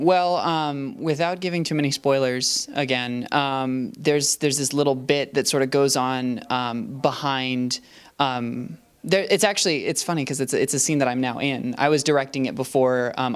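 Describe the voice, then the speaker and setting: Young male student actor, smooth tone, thoughtful and hesitant delivery. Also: mid-range voice, moderate pace. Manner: thoughtful, hesitant, many restarts and fillers. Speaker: student actor and assistant director. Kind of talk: casual interview answers.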